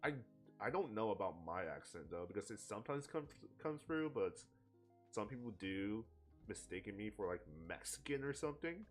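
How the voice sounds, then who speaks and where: A man's voice: on the low side, big pitch swings, normal speed, faint music behind. A man in a casual streaming chat, laid-back and chatty, laughing often. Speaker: streamer co-host.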